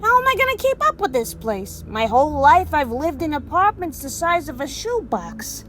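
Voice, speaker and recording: A woman acts out a naive, excitable male sports fan from New York in a storytelling audio drama. The voice sits high and leaps about as he brashly thinks aloud. Music runs underneath. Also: normal speed. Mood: flustered delight.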